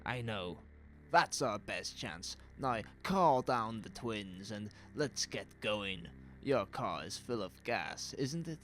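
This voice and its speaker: A man's voice: fairly low pitch, lively intonation, slow pace, soft background music. An actor playing a frantic, paranoid father urgently ordering his family around in a horror audio drama. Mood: tense, hurried. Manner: urgent, forceful, insistent.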